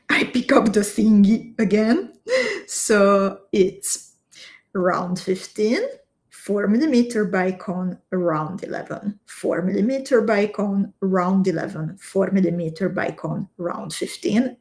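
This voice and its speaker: Casting note: woman, unhurried craft teacher, friendly live-stream chatter with viewers, steady mid-range voice. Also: mid-range voice. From Slovakia. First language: Hungarian. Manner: slow, step-by-step instructions, chatting with viewers. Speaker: beading instructor.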